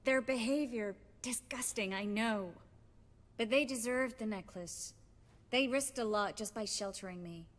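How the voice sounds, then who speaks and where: Woman acting a young sorceress, earnest, weary rebel leader, highish voice, video game drama, echoing hall. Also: a little slow, lightly acted. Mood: bitter.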